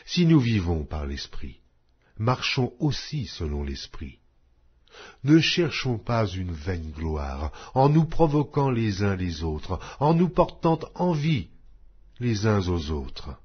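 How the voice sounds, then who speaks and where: A man reading scripture aloud in a slow, solemn, measured way. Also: on the low side, sing-song, slightly rough, highs cut off. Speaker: Bible reader.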